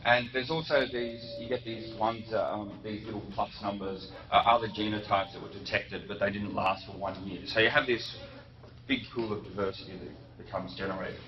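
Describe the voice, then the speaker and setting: Lower-voiced man lecturing in an echoey hall. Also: steady tone. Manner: unhurried, frequent ums and self-corrections. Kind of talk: academic lecture. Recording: muffled echoey hall recording from a distance.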